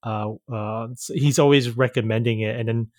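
A man's voice: medium pitch, steady tone, normal speed, darkish tone, no room sound. Friendly male host on a dry mic. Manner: friendly, laughs often, stammers a little. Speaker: podcast host. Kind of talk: casual interview chat.

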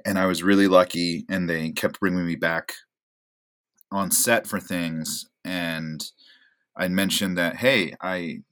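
Casting: low-voiced male actor, 30s, laid-back, self-deprecating guest. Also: takes it slowly. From Oregon, USA. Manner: relaxed, rambling, self-deprecating, many fillers. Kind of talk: casual interview chat.

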